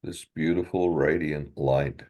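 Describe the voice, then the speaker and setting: A man leading a guided meditation in a gentle, unhurried way, leaving long pauses between short phrases. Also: very deep voice, fairly even intonation.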